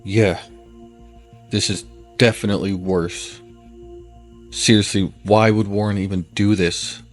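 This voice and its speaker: A man's voice: fairly low pitch, steady tone, measured pace, faint music behind, close to an everyday voice. An actor playing a cautious, practical man in an audio drama thriller. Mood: troubled.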